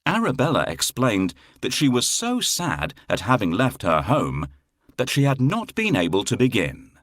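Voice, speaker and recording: A man narrating a children's fairy tale in an expressive, theatrical, deliberate way. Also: mid-range voice, no room sound, clean studio sound. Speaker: storyteller.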